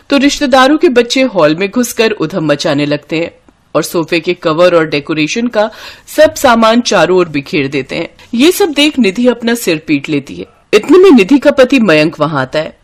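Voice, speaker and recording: A woman's voice: on the low side, lively intonation, normal speed. A woman narrating a cartoon story in a dramatic storytelling manner.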